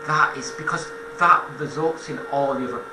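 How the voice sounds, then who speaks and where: A man giving an informal political monologue as a commentator, halting and self-correcting, repeating phrases for emphasis. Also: a little high, reverberant, very noisy.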